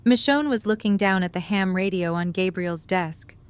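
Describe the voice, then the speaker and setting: A woman's voice: medium pitch, normal speed, smooth. A woman reading scripted story narration for an audio drama.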